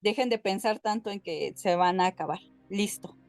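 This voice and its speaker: A woman's voice: mid-range voice, moderate pace, a little mellow, faint music behind. A woman co-hosting a podcast in a casual, conversational style. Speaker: podcast co-host. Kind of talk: casual chat.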